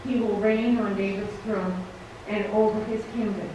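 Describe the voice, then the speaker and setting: A middle-aged woman reads a scripture passage in a steady, deliberate voice. The small church gives her voice a heavy echo. The camera is far away, and background noise runs beneath her. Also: medium pitch. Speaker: Advent reader. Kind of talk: scripture reading. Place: small church sanctuary, standing at the front. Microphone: stand mic, far camera.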